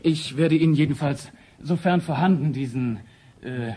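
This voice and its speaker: A man playing a thoughtful, obliging son in a German radio drama, speaking in a reflective, halting way. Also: a little high, warm tone, slightly rough. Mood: hesitant, obliging. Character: thoughtful, obliging son recalling his father.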